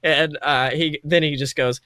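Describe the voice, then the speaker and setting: A man's voice: fairly high pitch, fairly even intonation, a little slow, pushed and bright, dry recording, studio quality. A man improvising narration as game master in a tabletop roleplaying game, in an informal, meandering, slangy storytelling way.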